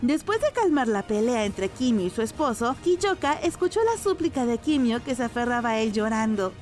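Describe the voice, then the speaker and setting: A woman's voice: on the high side, sing-song, moderate pace, darkish tone, faint music behind. A woman giving a scripted narration as the storyteller of a dramatic recap.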